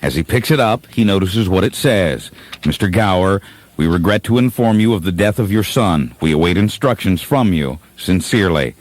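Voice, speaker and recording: A man's voice: sits low, expressive, normal speed, rough recording. A man narrating a comedic radio play from a script.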